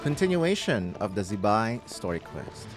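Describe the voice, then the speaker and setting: A man's voice: on the low side, big pitch swings, normal speed. A man giving casual live commentary as he streams a video game playthrough. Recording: game music behind the commentary. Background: video game music.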